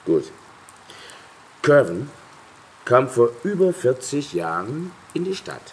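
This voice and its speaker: An actor playing a rough, superstitious sea captain who curses bluntly in a German audio drama. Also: male voice, medium pitch, big pitch swings, slow pace, darkish tone, full character voice. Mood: grim, uneasy.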